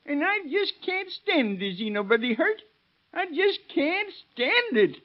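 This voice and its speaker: An unstable, lovesick backwoods American killer in a 1930s radio drama. His folksy voice is very high and lively, whining and giggling, on a dull vintage recording. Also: measured pace, full character voice. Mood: whining, giggly. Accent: rural American.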